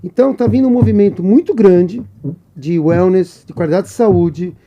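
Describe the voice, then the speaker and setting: Energetic man in his sixties, very mellow voice. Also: sits high, normal speed, muffled recording. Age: 60s. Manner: friendly, energetic, lists things off. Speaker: guest, businessman and mentor. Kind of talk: podcast interview.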